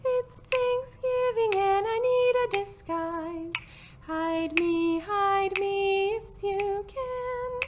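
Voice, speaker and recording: A woman voicing a worried, scheming male turkey from a children's storybook, with drawn-out, sing-song pleading. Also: very high voice, pitched above the performer's own voice, exaggerated voice.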